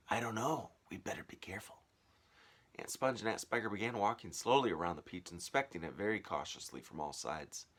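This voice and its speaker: Animated, low-voiced man reading aloud. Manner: dramatic, varied emphasis. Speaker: teacher reading aloud to pupils. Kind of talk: expressive storybook read-aloud.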